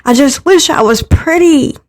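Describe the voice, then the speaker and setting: A woman's voice: sits high, measured pace, a little mellow, pitched above the performer's own voice. A woman voicing an insecure, defensive young woman student with a plaintive, emotional, exasperated manner in a visual novel. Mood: insecure, hurt.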